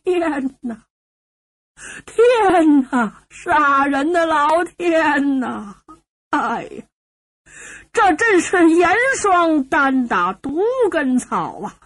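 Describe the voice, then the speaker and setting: Woman acting a wailing old father, high-pitched lament. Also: expressive, fairly bright tone, heavily acted, rough recording. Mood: wailing grief. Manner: drawn-out sobbing lament with stretched cries. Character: wailing old father mourning his doomed son, mocking ghostly mourner.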